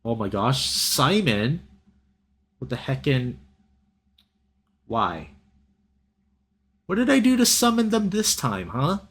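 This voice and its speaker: A young man doing a playful, expressive let's play, reading a visual novel aloud and bantering with his chat. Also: medium pitch, takes it slowly, long pauses, slightly rough. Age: young adult.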